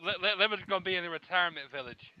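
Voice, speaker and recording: A man co-hosting a casual gaming chat, teasing the others in quick banter. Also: high voice, dull audio, crowd noise. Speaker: podcast co-host.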